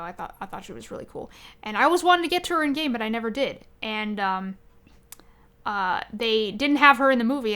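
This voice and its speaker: A woman hosting a podcast, chatting informally and haltingly, often correcting herself as she goes. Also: medium pitch, a bit bright. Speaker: podcast host. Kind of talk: casual chat about games and movies.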